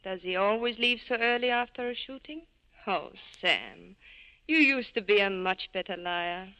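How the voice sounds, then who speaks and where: An actress playing a wistful, gently insistent former lover in a 1940s radio drama. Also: female voice, mid-range voice, animated, normal speed, smooth. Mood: wistful pleading. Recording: muffled vintage radio recording.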